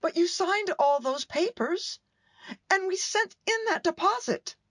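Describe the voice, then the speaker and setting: A woman's voice: extremely high pitch, animated, measured pace, a bit bright, no echo, heavily acted. A woman acting in a radio play as an exasperated, blunt wife and mother with a curt, pointed manner. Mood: indignant.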